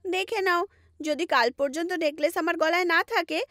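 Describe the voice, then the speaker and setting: A woman's voice: very high-pitched, brisk, dry recording, studio quality. A woman voicing a sulky, manipulative young wife with a whiny, wheedling delivery in a cartoon story. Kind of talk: animated story dubbing. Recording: loud music bed under voice.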